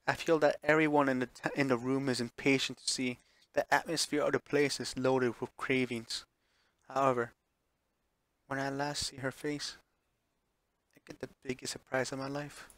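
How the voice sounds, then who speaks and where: A man's voice: mid-range voice, flat delivery, moderate pace, a bit bright, no room sound. A man streaming a visual novel, reading it aloud with offhand reactions and correcting himself when he stumbles over words. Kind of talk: live playthrough read-aloud with offhand reactions.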